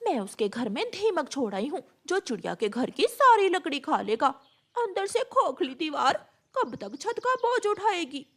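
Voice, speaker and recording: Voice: high voice, sing-song, unhurried, a bit bright, heavily acted. A woman voicing a vain, bossy, scheming female crow in a storytelling cartoon, theatrical and gloating. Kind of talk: Hindi storytelling cartoon voice acting.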